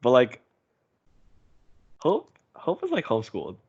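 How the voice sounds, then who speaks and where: A man's voice: medium pitch, wildly swooping pitch, a little slow, a little mellow, husky. A young man chatting casually and in a rambling, giggly way as a podcast host on a voice call. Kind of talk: casual chat. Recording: dull, lo-fi call audio.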